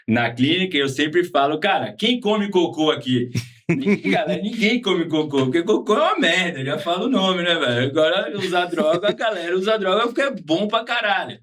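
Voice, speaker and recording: A man from Salvador with a Bahian accent, talking casually as an interview guest, relaxed and slangy, often ending with tag questions. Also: high-pitched, brisk, slight background noise. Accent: Bahian Portuguese. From Salvador, Bahia, Brazil. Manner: laid-back slangy talk with frequent tag questions. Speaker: guest, former patient.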